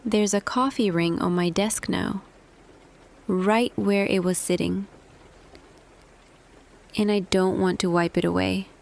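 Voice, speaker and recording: Woman doing a soft half-whispery boss girlfriend, teasing and tender, real slow, bit of vocal fry, asmr roleplay. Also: mid-range voice, fairly even intonation. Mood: tender, hesitant. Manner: slow, hushed, deliberate, pausing. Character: tender female office boss, intimate, quietly smitten, teasing. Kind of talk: ASMR roleplay audio, intimate scripted monologue.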